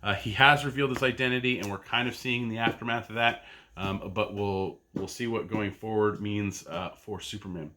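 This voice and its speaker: Casting: man in his thirties, comic podcast host, bright and forward tone, rambling, chatty delivery full of ums and self-corrections. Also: lowish voice, fairly even intonation, normal speed. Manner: rambling and chatty, with many fillers and restarts. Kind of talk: casual review of a weekly comic pull list. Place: home basement.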